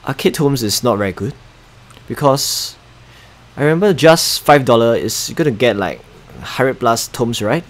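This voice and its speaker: A man's voice: medium pitch, animated, measured pace. Young Singaporean male game streamer, Singaporean accent; casual, chatty commentary with muttered asides. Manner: chatty, muttering asides, reading chat aloud.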